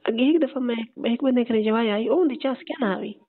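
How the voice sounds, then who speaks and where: A woman's voice: on the high side, a little fast. A woman with a Saraiki accent chatting casually and intimately as a caller on a recorded phone call. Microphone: telephone line.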